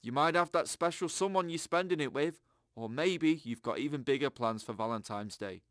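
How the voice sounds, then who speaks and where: An actor playing an earnest, upbeat radio host, chatting to listeners in an audio drama. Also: male voice, on the high side, expressive, moderate pace, a bit bright, dry recording, clean studio sound. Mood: chatty, sincere. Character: chirpy, earnest, government-trusting male radio show host.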